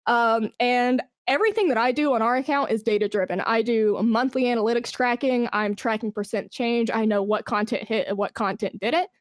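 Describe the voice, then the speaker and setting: Female podcast guest, fairly high voice in a dry recording, conversational, keen and emphatic delivery. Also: a little fast, few pauses, no echo. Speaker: podcast guest, esports brand manager. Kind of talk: casual interview conversation.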